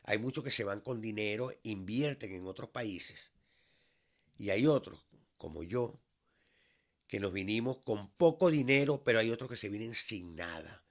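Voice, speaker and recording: A Venezuelan man in his fifties, a teacher, speaking in a measured, earnest monologue that has frequent restarts. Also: lowish voice, expressive. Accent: Venezuelan Spanish. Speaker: vlog host, high school teacher. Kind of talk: reflective solo monologue.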